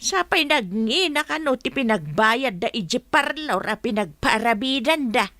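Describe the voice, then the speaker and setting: A woman's voice: a little high, lively intonation, unhurried, a bit bright, dry recording. A woman playing a fretful older mother in an audio drama, speaking animatedly with an Ilocano accent. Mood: exasperated. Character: older pensioner mother, plain-living and fretful. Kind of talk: Ilocano radio-style drama acting.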